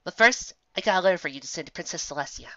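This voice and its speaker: A woman voicing an earnest, gentle, bookish young female unicorn in a cartoon fan story, kindly and measured. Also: fairly low pitch, lively intonation, no room sound, lo-fi sound, voice pushed up. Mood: friendly, purposeful.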